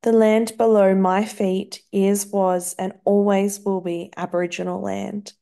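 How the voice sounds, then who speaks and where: An Australian woman hosts a podcast in a soft, earnest voice of middling pitch. She moves easily from a carefully read welcome into relaxed conversation with her guest. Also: measured pace, vocal fry. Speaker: podcast host. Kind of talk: scripted intro then casual interview chat.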